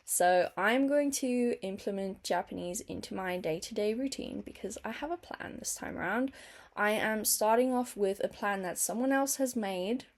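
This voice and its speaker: A young woman vlogger speaks with noticeable vocal fry at a middling pitch. English is her second language. She chats casually to the camera, earnest and open, as if updating friends on her progress. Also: darkish tone. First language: not English. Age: young adult.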